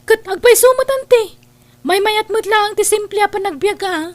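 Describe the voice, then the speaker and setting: A woman's voice: very high voice, moderate pace, dry recording. A woman playing a complacent married older sister in a family radio drama, dismissive and preachy, scornful of wealth. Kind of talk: Ilocano radio-style family drama acting.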